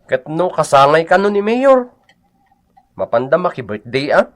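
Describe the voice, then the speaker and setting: A man's voice: fairly high pitch, big pitch swings, moderate pace. An actor playing a stubborn, matter-of-fact young man with an insistent, questioning manner in a comedic radio drama.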